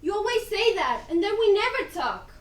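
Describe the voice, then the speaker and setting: A woman's voice: very high voice, big pitch swings, normal speed. A young-sounding stage role: a woman playing an angry, rebellious, hurt teenage daughter in a dramatic play reading, snapping sarcastically. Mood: sarcastic, angry. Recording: echoey room, distant mic.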